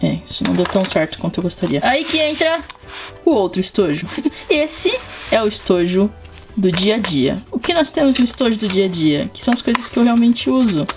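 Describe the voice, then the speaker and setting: A woman's voice: medium pitch, sing-song, a little fast, over music. A young woman with a Brazilian Portuguese accent, hosting a casual vlog and chatting expressively with frequent asides to her viewers. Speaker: channel host.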